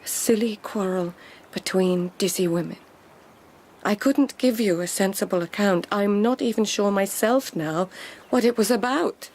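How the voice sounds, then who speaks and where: An actress playing an anxious, deferential court lady in a radio drama, speaking formally and earnestly, sometimes hushed. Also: mid-range voice, unhurried. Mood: deferential, uneasy.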